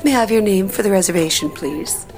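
An actress playing a courteous hotel restaurant receptionist in an audio drama, with formal customer-service politeness. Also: female voice, mid-range voice, fast talker, a little mellow, faint music behind, close to an everyday voice.

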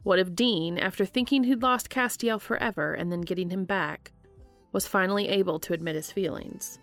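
A woman's voice: mid-range voice, normal speed, soft background music. A woman podcast host reading fan fiction aloud as scripted narration.

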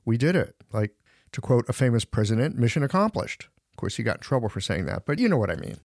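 A man's voice: medium pitch, fast talker, no room sound. Folksy man host with a dry sound. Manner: relaxed, folksy, uses everyday analogies. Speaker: podcast host, practitioner. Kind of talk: casual professional conversation.

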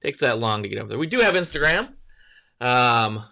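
A man with a gravelly, fry-edged voice chats loosely through a morning livestream. He greets viewers one by one, wanders between topics and corrects himself as he goes. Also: medium pitch, very animated, a little fast, bright, forceful tone. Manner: rambling, greets viewers by name, self-correcting. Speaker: livestream host. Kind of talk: casual morning livestream chat.

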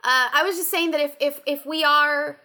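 A woman's voice: high-pitched, fairly even intonation, normal speed, bright, forceful tone. A woman speaking as a critic in an earnest roundtable, building long, careful sentences. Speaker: critic on a panel.

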